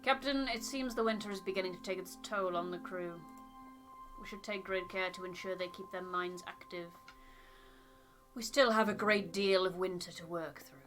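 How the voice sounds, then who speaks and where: A woman voicing a reserved, sceptical, businesslike officer in a game, formal and measured. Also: medium pitch, fairly even intonation, a bit bright, faint music behind, natural voice. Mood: stern, dismissive.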